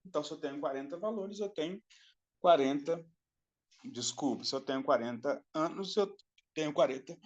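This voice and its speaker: A man's voice: fairly high pitch, normal speed, dull audio. A man giving a recorded class lecture, explaining step by step, repeating himself and checking in with the students. His throat is dry and he coughs now and then.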